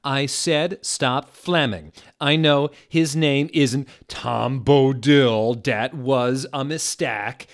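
A man's voice: mid-range voice, pitched above the performer's own voice. A man voicing a defensive teenage girl author, petulant and emphatic as she snaps at her critics. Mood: indignant. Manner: emphatic, petulant, drawn-out words.

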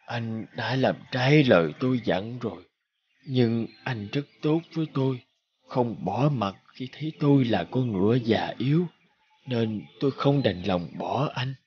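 A man voicing a wise magical horse who speaks gently and earnestly to his master in an animated fairy tale. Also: mid-range voice, measured pace, dull audio, a little hiss or hum, natural voice. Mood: gentle reproach.

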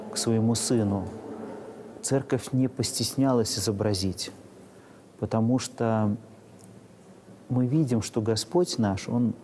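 A man in his thirties, a priest explaining in an interview, measured and searching for words. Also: fairly low pitch, warm tone, slight background noise. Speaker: priest, parish rector. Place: inside a church.

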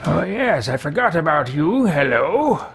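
A man voicing a pompous, power-hungry fantasy mage with theatrical, drawn-out delivery in a video game roleplay. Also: on the high side, sing-song, vocal fry, fairly bright tone, full character voice. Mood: haughty. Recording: faint background noise, game ambience.

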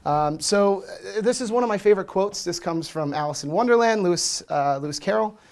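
A man with an American accent, in his thirties, gives a relaxed talk at a meetup in front of a projector screen. His voice sits a little high, and he speaks loosely with frequent "um"s and "uh"s. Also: fairly quick. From Washington, D.C., USA. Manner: relaxed, chatty, many fillers. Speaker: conference speaker. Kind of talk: casual presentation talk.

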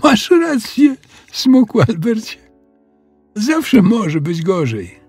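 A man putting on a little storybook girl, indignant, emphatic, raised swooping pitch, husky. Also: sing-song, takes it slowly, a little mellow, no echo, soft background music, full character voice. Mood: relieved. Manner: stern, emphatic, exasperated. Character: indignant, upset little girl, scolding and expressive. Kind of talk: children's audio story acting.

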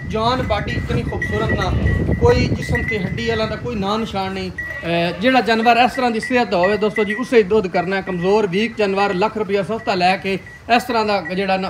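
Fast-talking high-voiced Punjabi man pitching cows. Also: rough recording. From Pakistani Punjab. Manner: hearty salesman patter, addressing viewers as friends. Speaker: livestock video presenter. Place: outdoor farmyard.

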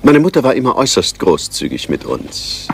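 An actor playing a resentful upper-class English son, matter-of-fact and slightly sardonic, in a radio play. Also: male voice, medium pitch, wildly swooping pitch, moderate pace, vocal fry, a little hiss or hum.

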